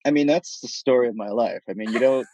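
A man from Puerto Rico speaking as a thoughtful podcast guest, laying out his ideas in articulate, measured phrases. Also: mid-range voice, dark tone, no room sound. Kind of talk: reflective interview conversation.

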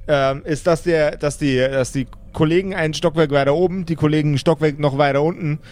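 Man playing a brash, grumbling necromancer boss, higher-pitched. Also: steady tone, a little fast, a little mellow, close to an everyday voice. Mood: evasive. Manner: slangy, coarse, rambling, stammering repetitions. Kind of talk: improvised pen-and-paper audio play.

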